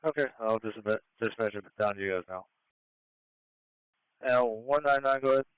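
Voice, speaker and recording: A man's voice: medium pitch, moderate pace, narrow, tinny audio, noisy background. A man giving terse emergency service messages over a two-way radio on a scanner feed. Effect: two-way radio transmission.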